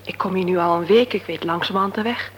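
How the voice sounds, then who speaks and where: An actress playing a candid woman visiting her aged father in a radio play, with a soft, confiding way of talking. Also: lowish voice, fast talker, slight background noise, natural voice. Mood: friendly.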